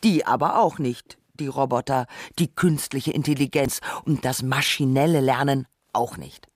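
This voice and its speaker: A woman performing a scripted literary radio essay in a reflective, rhetorical manner with deliberate phrasing. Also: rumbling low, no room sound, studio quality.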